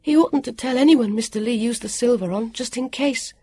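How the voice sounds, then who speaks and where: A woman voicing a spirited, sharp-tongued, excitable young girl with urgent delivery in a storybook reading. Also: brisk, husky. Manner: high, urgent, emphatic.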